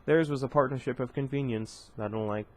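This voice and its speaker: A man's voice: medium pitch, brisk. A man reading a novel aloud in a casual, unpolished way, stumbling over words and correcting himself. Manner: reads plainly, stumbles and corrects himself, breaks off for joking asides. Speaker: reader of a novel. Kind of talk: casual read-aloud with asides.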